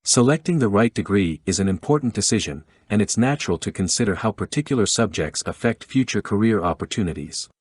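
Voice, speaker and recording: Guy reading a passage out carefully, lowish voice, no room sound at all. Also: expressive, fairly quick, few pauses, breathy edge, no echo, clean studio sound. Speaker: read-aloud model reader. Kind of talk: scripted read-aloud of exam passage.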